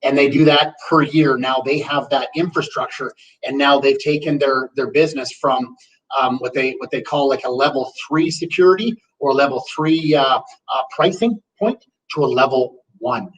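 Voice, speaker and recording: A man's voice: medium pitch, moderate pace. A man from Canada co-hosting a webinar, bantering and joking in casual chat. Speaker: webinar co-host. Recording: distant from the mic.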